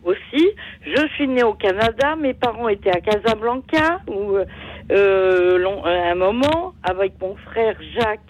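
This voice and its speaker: An older woman calling in to a radio show, reminiscing in a halting, rambling way that drifts off the point. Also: medium pitch, animated. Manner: digressive, halting. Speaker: radio phone-in caller. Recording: muffled call on radio.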